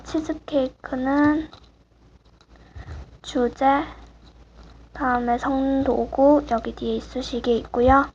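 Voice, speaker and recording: Soft-spoken teen girl, rough voice. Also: high voice. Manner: soft-spoken, apologetic, hushed at times. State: voice a bit rough. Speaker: vlogger. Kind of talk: casual show-and-tell of swap items. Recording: muffled home recording with clear background hiss.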